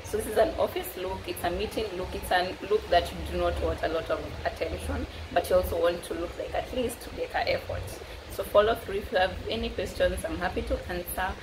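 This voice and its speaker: A woman's voice: lowish voice, fairly quick, hardly any pauses. A woman in her thirties with a Kenyan accent, chatting casually and informally to camera as a makeup artist. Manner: informal, mixes Swahili into English. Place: indoors against a plain dark wall. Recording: clearly noisy background ambience.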